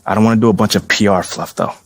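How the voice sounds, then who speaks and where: A man's voice: on the low side, fairly even intonation, very fast, no room sound. A man playing a confident, easygoing young rookie basketball player in a video game, talking in casual slang. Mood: casual, sincere. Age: young adult.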